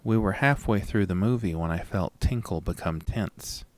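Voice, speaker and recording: A man reading a scripted first-person horror story in a dramatic, deliberate storytelling manner. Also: fairly low pitch, dry recording. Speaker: narrator and lead of a horror audio story.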